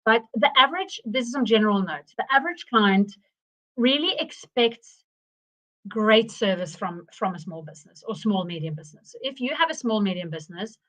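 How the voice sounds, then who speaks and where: A woman's voice: medium pitch, expressive, moderate pace, a bit bright, low-quality recording. South African woman hosting a webinar. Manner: chatty, many restarts and fillers. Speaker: marketing webinar host. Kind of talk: live webinar presentation.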